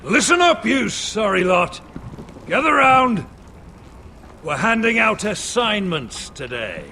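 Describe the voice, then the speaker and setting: Gravelly, barking taskmaster. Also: male voice, sits high, sing-song, slow pace, sharp tone, exaggerated voice. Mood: scornful command. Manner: barking, commanding. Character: harsh, contemptuous male military taskmaster. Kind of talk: dramatic video game acting. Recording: game audio with faint background noise.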